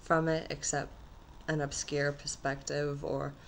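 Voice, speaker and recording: Low husky nasal woman rambling. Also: little pitch movement, measured pace, a little hiss or hum. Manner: rambling, trailing off mid-thought. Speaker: vlogger. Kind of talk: casual rambling vlog. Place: her own apartment.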